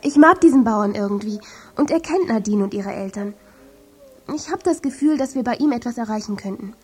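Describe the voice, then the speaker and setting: An actress playing a friendly, determined teenage girl in a German children's audio drama, with a light, husky voice. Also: a little high, animated, brisk, soft background music, natural voice. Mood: eager, determined.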